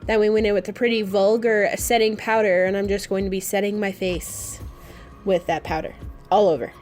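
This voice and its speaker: A woman talks through a makeup tutorial as a casual vlogger, with a mid-pitched voice. She is informal, playful and openly gushing. Music plays behind her. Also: fairly even intonation, normal speed, darkish tone.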